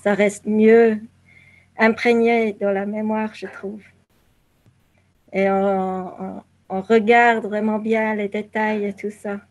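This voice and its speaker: A woman speaking as an interview guest at a markedly slow pace, with a medium pitch. She answers reflectively, pausing often to search for the next word. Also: flat delivery, poor audio. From southern Africa (Zambia and Zimbabwe). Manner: halting, searching for words with long pauses.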